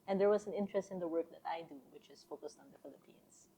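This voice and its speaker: Woman, 30s, podcast guest sociologist; thoughtful, unhurried, low register. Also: gravelly, dark tone, slightly rough, rough recording. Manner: thoughtful, laughs easily, many fillers. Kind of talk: casual interview conversation.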